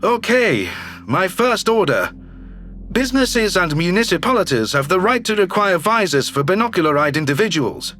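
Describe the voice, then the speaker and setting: A man voicing a shrewd, businesslike male alien governor in an audio story, brisk and authoritative. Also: high-pitched, sing-song, bright, forceful tone, soft background music, exaggerated voice. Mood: brisk, commanding.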